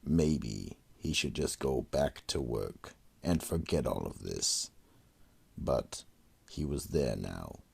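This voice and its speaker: The gravelly Keeper of the Vault. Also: male voice, lively intonation, a little mellow, exaggerated voice. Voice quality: deep gravelly rasp. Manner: slow, ominous, drawn-out delivery. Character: sinister male Keeper of the Vault, brooding. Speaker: horror anthology narrator. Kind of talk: 1940s-style radio horror narration.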